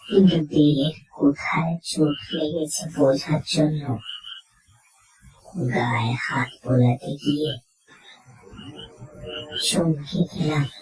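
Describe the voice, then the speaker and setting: A woman narrating a horror story in the first person, in a suspenseful, theatrical way with measured phrases. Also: low voice, a little mellow. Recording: echoey, distant, music underneath.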